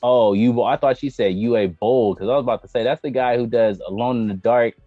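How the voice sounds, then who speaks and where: A man's voice: medium pitch, fast talker, dry recording. A man chatting casually as a co-host, giving blunt, emphatic opinions in slangy, profane language. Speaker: podcast co-host. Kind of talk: casual opinionated chat about video game movies. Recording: dull lo-fi mic, music underneath.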